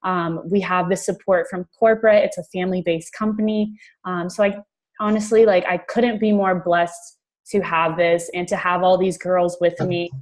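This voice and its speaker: A woman giving an informal, enthusiastic sales pitch as a team leader on a conference call. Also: little pitch movement, normal speed.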